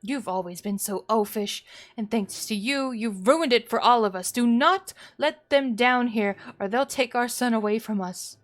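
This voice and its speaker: A woman's voice: fairly high pitch, normal speed, no echo, natural voice. A woman voicing a maniacal, doting mother in a visual novel, theatrical, sweetly coaxing and threatening. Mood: angry, scornful. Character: maniacal doting mother, cloyingly cheerful and menacing.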